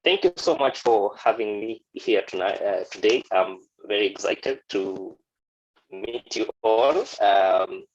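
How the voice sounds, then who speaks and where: Kenyan man, friendly and halting. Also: fairly low pitch, lively intonation, dull audio. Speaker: guest photographer. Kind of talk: presentation to students.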